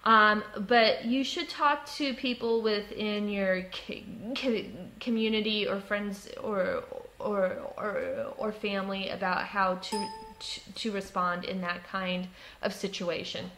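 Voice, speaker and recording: A woman who stutters, with blocks and repeated sounds, giving an explanatory advice talk in a composed, frank and deliberate way. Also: mid-range voice, steady tone, sharp tone, poor audio. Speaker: presenter giving workplace advice. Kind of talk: explanatory talk with personal examples.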